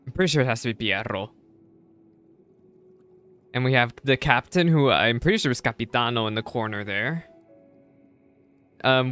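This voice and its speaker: A man's voice: medium pitch, a bit bright. A young man giving casual live reaction commentary as a streamer, close on the mic. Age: young adult. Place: indoor streaming setup. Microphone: close desk microphone.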